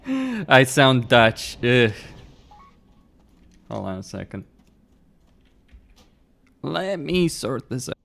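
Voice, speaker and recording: A man's voice: medium pitch, huge pitch swings, drawn-out words, gravelly, pauses often, a bit bright. A young man from Romania, streaming a game and chatting bluntly with viewers, laughing often and swearing freely. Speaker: gaming streamer. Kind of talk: casual live-stream chat, answering viewers.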